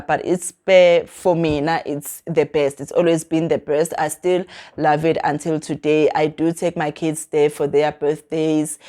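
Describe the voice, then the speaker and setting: A South African woman in her thirties talks casually to her viewers in a fairly low voice. She rambles, corrects herself mid-sentence and slips Zulu phrases into her English. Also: a little mellow. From Mpumalanga, South Africa. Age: 30s. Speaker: vlogger. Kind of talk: casual chat to camera, self-introduction.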